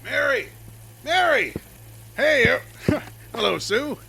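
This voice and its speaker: An actor playing a brash, cheerful logging boss who teases and talks folksy in a radio drama. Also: male voice, very high voice, lively intonation, slow pace, gravelly, husky, exaggerated voice, rough recording. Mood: teasing. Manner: loud, folksy, teasing.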